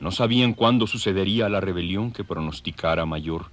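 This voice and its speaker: A man's voice: low-pitched, a little fast, faint music behind. A man narrating an audio drama in a scripted, dramatic storytelling style.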